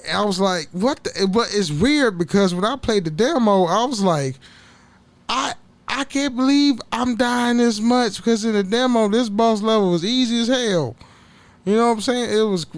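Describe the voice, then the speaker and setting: A man with a notably high voice talks casually as a solo podcast host. He rambles, doubles back on words and stammers through sentences, dropping swear words freely as he goes. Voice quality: voice prone to cracking.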